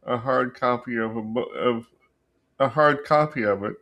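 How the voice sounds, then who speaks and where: A man hosting a book channel, telling a story casually and unscripted, haltingly searching for words and often rephrasing himself. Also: mid-range voice, fairly bright tone. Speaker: book review channel host.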